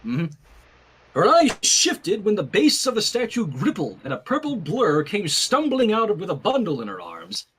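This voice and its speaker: A man's voice: high voice, wildly swooping pitch, normal speed, a bit bright, slight background noise. A man hosting a fan fiction reading, playful, narrating the story and breaking off for chatty asides. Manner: playful, self-interrupting. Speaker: host, fan fiction reader.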